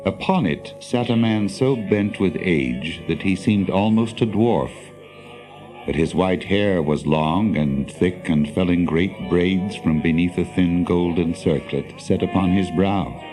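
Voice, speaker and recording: A man narrating a fantasy audio drama in a measured, literary storybook style. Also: sits low, warm tone, faint music behind.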